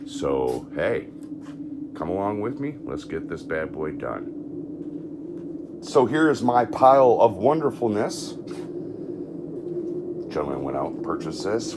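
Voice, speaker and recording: A man's voice: deep voice, very noisy. A man filming a casual how-to vlog in a large indoor workspace, talking folksy and enthusiastic with lots of fillers. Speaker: haunted attraction builder.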